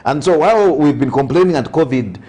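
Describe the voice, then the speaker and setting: A husky male voice with a Zambian accent, talking as a priest invited onto an interview programme. He speaks earnestly and conversationally, repeating phrases for emphasis as he offers social commentary with a preacherly touch. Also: fairly high pitch, expressive, brisk, dark tone.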